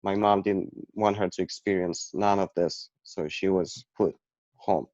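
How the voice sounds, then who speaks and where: A man, talking as a guest about his own past in a halting way, with many restarts and fillers. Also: sits low, steady tone, a little mellow, dry recording, lo-fi sound. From Ukraine. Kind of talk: personal storytelling.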